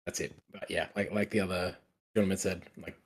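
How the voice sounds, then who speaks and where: A man giving casual script feedback in an online meeting in a polite, tentative, halting way. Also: deep voice, little pitch movement, breathy edge. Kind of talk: casual spoken critique. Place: indoors on an online meeting call.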